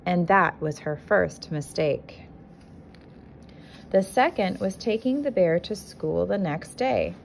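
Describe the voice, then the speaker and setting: A woman with a slightly low voice reads a children's picture book in an expressive, deliberate teacher's way. She is recorded indoors on a phone, with clear background noise around her voice. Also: rough recording. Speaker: kindergarten teacher reading aloud. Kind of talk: picture book read-aloud to young children. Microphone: handheld phone filming the book.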